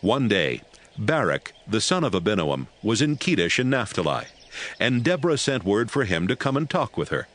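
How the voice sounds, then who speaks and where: A man narrating scripture in a steady, measured storytelling voice. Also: lowish voice. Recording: narration over background music.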